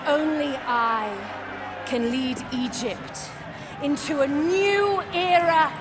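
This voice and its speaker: A woman's voice: high-pitched, slow, heavy background noise. An actress playing a triumphant, imperious ruler, declaiming grandly to her people in a game cutscene. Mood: triumphant, commanding. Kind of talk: dramatic game cutscene oratory. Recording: loud music and crowd behind.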